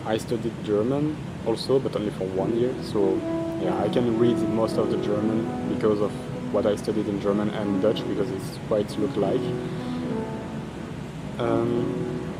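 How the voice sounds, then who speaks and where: A man's voice: fairly low pitch, fairly even intonation, normal speed, loud music behind the voice, low-quality recording. A young Belgian man who speaks English with a French accent, answering interview questions in a hesitant, filler-laden way with light laughs. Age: young adult. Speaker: interviewee, university student.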